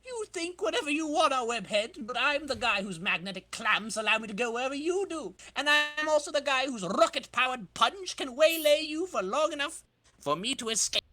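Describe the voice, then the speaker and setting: A man's voice: very high-pitched, expressive, fairly quick, sharp tone, dry recording, pitched above the performer's own voice, full character voice. A man voicing a cocky, scheming supervillain racer in a boastful, over-dramatic comic-book reading.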